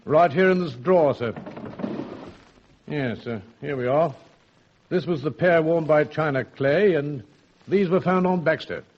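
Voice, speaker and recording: A man playing a gruff, scolding police sergeant with blunt, emphatic delivery in vintage radio drama style. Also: on the high side, unhurried, long pauses, lightly acted. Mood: dutiful. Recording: muffled, noisy old radio recording.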